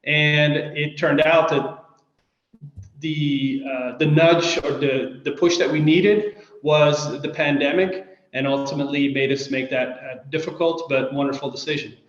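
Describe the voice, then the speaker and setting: A man from Belgium answering interview questions in a measured, hesitant way. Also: fairly high pitch, steady tone. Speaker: guest. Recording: echoey dull-sounding room.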